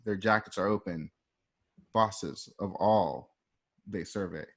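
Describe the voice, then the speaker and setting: A man's voice: low-pitched, takes it slowly. A man reading script stage directions in a casual, plain-spoken way at an informal table read.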